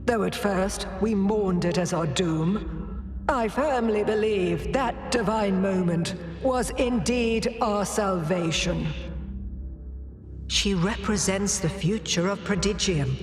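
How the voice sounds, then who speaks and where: Slow-spoken elder alien sovereign played by an actor, game cutscene. Stern, zealous, commanding; cavernous reverb, loud music underneath. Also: mid-range voice, close to an everyday voice. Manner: measured, grave, commanding. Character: aging, stern alien former ruler, solemn and zealous. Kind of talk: scripted dramatic game cutscene dialogue. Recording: long hall reverb over loud music.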